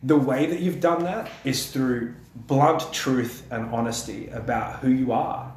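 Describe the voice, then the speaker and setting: A man's voice: mid-range voice, measured pace, slight background noise. A young man hosting a podcast, speaking earnestly and encouragingly in long, building sentences. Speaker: podcast host. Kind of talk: reflective podcast conversation. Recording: roomy echo.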